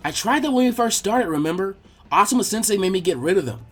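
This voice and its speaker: A man voicing a loud, impatient, hyperactive boy ninja who complains indignantly. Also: high voice, expressive, fast, slightly rough, voice pushed up, full character voice.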